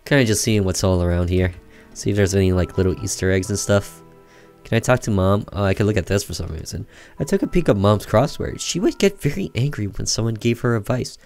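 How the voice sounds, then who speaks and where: Low voice guy playing a game n reading it out, bit of music behind. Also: fairly quick, a little mellow. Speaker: gamer doing a let's play, reading game text aloud. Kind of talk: casual gameplay commentary mixed with read-aloud narration.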